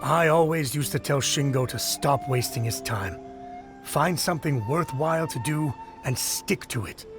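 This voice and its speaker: A man's voice: a little high, normal speed, natural voice. Man playing an exasperated, gossipy village townsman. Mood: scornful, exasperated. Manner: exasperated, scornful, conversational. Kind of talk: video game voice acting. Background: game music.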